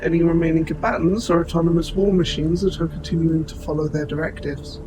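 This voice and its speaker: Robot soldier, male actor: fairly high male voice, formal and precise, protective and gentle, audio drama with prominent music underneath. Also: steady tone, natural voice, rough recording. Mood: formal, measured. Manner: formal, precise, measured wording. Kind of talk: scripted audio roleplay, addressing the listener.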